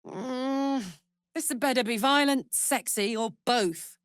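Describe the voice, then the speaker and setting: A woman playing a big, horned hellspawn warrior in a video game, brash and casual, warm-hearted, playful and hot-tempered. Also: high-pitched, takes it slowly, clear, resonant tone, dry recording, studio quality. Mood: flirty, playful. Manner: brash, casual, emotionally open. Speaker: video game character voice actor. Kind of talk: expressive video game voice acting.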